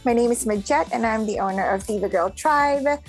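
A woman's voice: fairly high pitch, a little fast, soft background music. A woman hosting a live podcast interview, bubbly and emphatic with lots of fillers. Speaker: podcast host.

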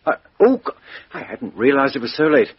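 A dry, muffled vintage radio recording of a British police inspector. He is brisk, courteous and businesslike, with a very animated, swooping intonation. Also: mid-range voice, slightly rough, dry recording. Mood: brisk, musing. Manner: businesslike, questioning, hesitant fillers. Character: brisk, courteous male English police inspector, doggedly questioning. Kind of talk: 1950s radio mystery acting.

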